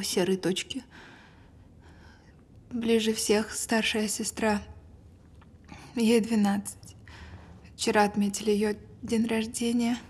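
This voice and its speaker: An actress playing a frightened woman reliving a childhood memory under hypnosis, speaking haltingly in a naturalistic audio drama. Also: mid-range voice, darkish tone, slightly rough. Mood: tranced, uneasy.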